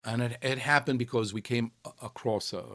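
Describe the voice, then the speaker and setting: An older man raised in Israel tells his story in an interview. His voice sits at an ordinary middle pitch. He speaks haltingly, with frequent pauses, fillers and restarts. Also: fairly even intonation, fairly bright tone. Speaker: cantor, interviewee. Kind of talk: reflective interview answers.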